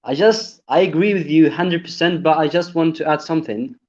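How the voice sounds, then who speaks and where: A man in his twenties from Algeria, calling in to a live stream in halting English, with lots of fillers and restarts. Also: a little high, a little mellow. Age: 20s. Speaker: caller. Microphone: online call. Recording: lo-fi call audio.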